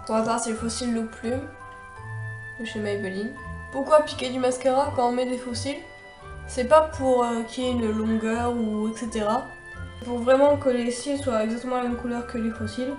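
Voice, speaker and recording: A woman's voice: fairly high pitch, steady tone, normal speed, a little mellow, roomy sound, soft background music. A young woman with a cold and a stuffy nose, chatting her way through a makeup tutorial with many fillers and restarts. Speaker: beauty vlogger.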